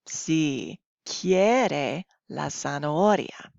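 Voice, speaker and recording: A woman teacher talks through a recorded lesson at a very unhurried pace. Her voice sits slightly low, and she explains instructively, often checking in with short questions. The recording is somewhat dull and lo-fi. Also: lively intonation, vocal fry, a little mellow. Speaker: Spanish teacher. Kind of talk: recorded classroom lesson, reading slides aloud. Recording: dull lo-fi, roomy home recording.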